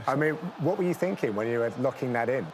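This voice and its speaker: A man's voice: medium pitch, animated, quick pace, slight background noise, poor audio. A man interviewing a fighter, a commentator asking friendly, enthusiastic questions.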